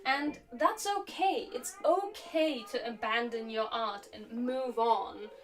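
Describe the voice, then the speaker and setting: A woman's voice: on the high side, slow pace. A young woman, a native speaker of Slovak who grew up in Germany, chatting casually and reflectively while crafting on a live stream, with a slightly sore throat. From Slovakia, raised in Germany. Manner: relaxed, reflective, chatty with frequent fillers. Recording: distant mic with faint music.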